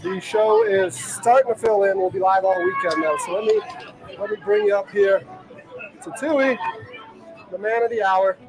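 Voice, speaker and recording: A man's voice: high-pitched, normal speed, over music, low-quality recording. A man hosting a live vlog from an outdoor boat show, upbeat and conversational. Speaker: host.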